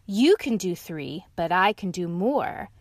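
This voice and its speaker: A woman's voice: lowish voice, lively intonation, a little slow, no room sound. A woman voicing a playful male dog in a picture book, close to her own voice but bouncier. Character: playful male storybook dog copying the others.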